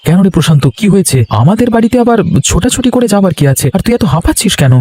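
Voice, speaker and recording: A man voicing an excitable village husband in a cartoon, exclamatory and emphatic. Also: high voice, rapid-fire. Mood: alarmed, questioning. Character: village husband and nephew, excitable, easily alarmed. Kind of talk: Bengali animated ghost-story voice acting.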